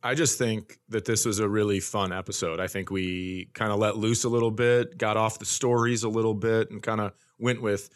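A man's voice: lowish voice, little pitch movement, moderate pace, few pauses. A man hosting a comedy podcast, telling stories casually in a wry, self-deprecating, conversational way.

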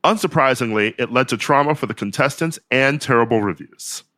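A man's voice: mid-range voice, a little fast, bright, forceful tone, no echo. A man hosting a podcast, playful and teasing, also reading an ad. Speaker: podcast host. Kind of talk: casual chat, read ads.

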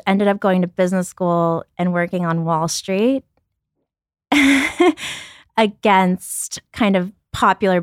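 A woman's voice: on the low side, smooth, dry recording, studio quality. A woman in her thirties, originally from Ukraine and raised in Brooklyn, talking candidly as a podcast guest, often restarting her sentences. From born in Ukraine, raised in Brooklyn, New York. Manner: reflective, frequent restarts, light laughs. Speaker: guest, company founder.

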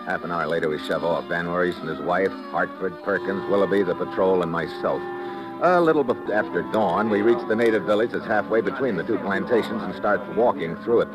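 A man as a deep-voiced hard-boiled American agent. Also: fairly quick, close to an everyday voice, poor audio. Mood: hard-boiled, brooding. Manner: terse, hard-boiled, commanding. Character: tough, suspicious male secret agent narrating his adventure. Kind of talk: 1950s radio drama acting and narration. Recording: old radio broadcast with loud music.

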